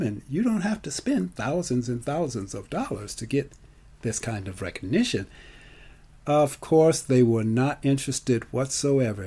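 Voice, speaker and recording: A man delivers a conversational monologue with theatrical emphasis. His mellow voice swings widely in pitch as he takes his time over each point, leaning on key words and posing rhetorical questions. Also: breathy edge. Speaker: film festival marketer and storyteller. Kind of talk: conversational monologue, personal storytelling.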